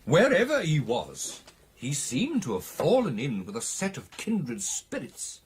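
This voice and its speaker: An actor playing an excitable British club gentleman, telling his tale in a theatrical, hesitating and emphatic radio drama style with a husky voice. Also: mid-range voice, a little slow, a little hiss or hum. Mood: earnest, reflective. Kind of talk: dramatic storytelling in a radio play.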